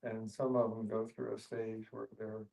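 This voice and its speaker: A man's voice: lowish voice, little pitch movement, normal speed, gravelly, mellow. A man asking a question in a group discussion. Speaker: audience questioner. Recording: voice picked up from a distance.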